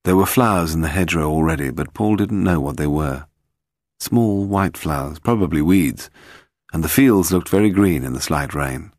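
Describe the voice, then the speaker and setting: A British man narrating a detective novel in a measured, literary storytelling manner. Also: a bass voice, a little mellow, no room sound, clean studio sound. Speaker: audiobook narrator.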